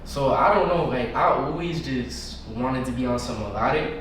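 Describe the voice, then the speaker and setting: A man's voice: mid-range voice, moderate pace. A young man with a New York accent, chatting casually and in slang as a musician guest on a podcast. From New York, United States. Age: young adult. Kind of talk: casual slangy interview chat. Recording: echoey room, distant mic, background noise.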